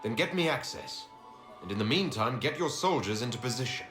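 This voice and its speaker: A man's voice: medium pitch, lively intonation, brisk, fairly bright tone, close to an everyday voice. An actor playing a resolute inquisitor in a video game, direct and authoritative as he questions and gives orders. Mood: firm. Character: commanding male inquisitor, resolute hero and investigator. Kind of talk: video game cutscene dialogue. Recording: roomy echo, faint music behind.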